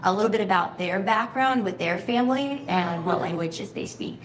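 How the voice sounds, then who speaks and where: A woman's voice: medium pitch, moderate pace, slight background noise. Upbeat woman moderating a student panel. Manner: upbeat, organizing, filler-heavy. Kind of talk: addressing a room of students. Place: high school room. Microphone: handheld microphone.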